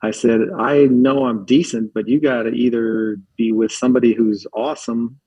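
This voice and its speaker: A man's voice: mid-range voice, fairly even intonation, moderate pace, mellow, husky, dry recording, lo-fi sound. A man from Philadelphia, a podcast guest telling stories in a rambling, casual way full of filler words. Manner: rambling, filler-heavy, uses lots of likes. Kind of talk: casual storytelling chat.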